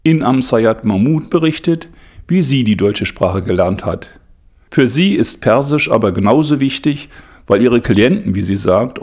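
A man's voice: on the low side, a little mellow. Man narrating a radio report. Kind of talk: scripted narration.

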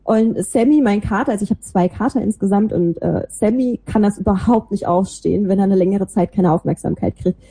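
A woman with a warm, mellow voice that is a little husky talks casually as an interview guest. She is chatty and self-deprecating as she tells about herself. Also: mid-range voice, fairly quick, few pauses. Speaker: interview guest, podcaster and small creative agency owner. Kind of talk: casual chat, telling about own life and work.

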